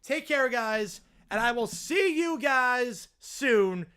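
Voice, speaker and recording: Hoarse, high-voiced male sports streamer. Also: lively intonation, slow pace, pushed and bright. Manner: chatty, reads and answers viewer comments. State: hoarse, voice worn out. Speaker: livestream host. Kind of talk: casual live sports reaction chat.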